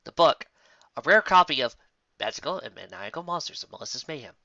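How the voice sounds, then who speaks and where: A man's voice: mid-range voice, big pitch swings, fairly quick, gravelly, pushed and bright, no echo, highs cut off. A man reading a scripted fictional story aloud as narrator. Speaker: story reader.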